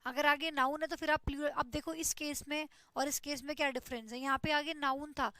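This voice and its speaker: A woman's voice: high-pitched, steady tone, brisk, sharp tone, dry recording. A woman teaches an online English grammar class, explaining step by step and checking in with "ठीक है". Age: 20s.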